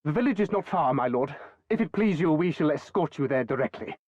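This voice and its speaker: A man's voice: a little high, lively intonation, brisk, darkish tone, no room sound, highs cut off. A man playing a servile, nervous underling in a video game, speaking in an obsequious and deferential way. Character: servile, nervous male underling flattering his lord. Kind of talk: dramatic video game acting.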